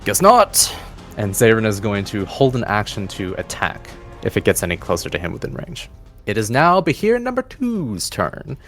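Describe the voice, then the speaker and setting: A man's voice: fairly low pitch, very animated, moderate pace. A man narrating a tabletop game as game master. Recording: faint music bed under voice. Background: faint music.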